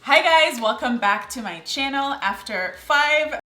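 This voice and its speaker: A woman's voice: sits high, expressive, measured pace, reverberant. A woman in her twenties hosting a casual Q&A chat with her sisters, chatty and teasing, laughing often. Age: 20s. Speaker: channel host.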